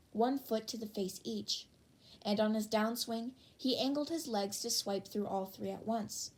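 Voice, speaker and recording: A woman's voice: medium pitch, a little mellow. A woman narrating fan fiction as an audiobook reader, working from a script.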